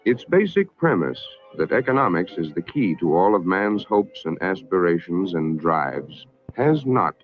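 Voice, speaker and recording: An American man narrating a scripted 1960s public information film in a formal, measured, emphatic manner. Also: low-pitched, very animated. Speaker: documentary narrator. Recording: narrow-band vintage film soundtrack, music behind.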